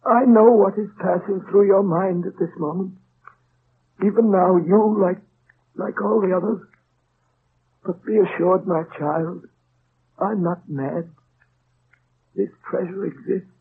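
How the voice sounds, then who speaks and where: An actor playing a frail, dying old priest, tender and solemn, speaking haltingly in old radio drama style. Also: male voice, sits high, fairly even intonation, breathy edge, heavily acted. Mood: urgent, solemn. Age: older. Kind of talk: 1930s-40s radio drama acting. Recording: muffled, noisy old radio recording.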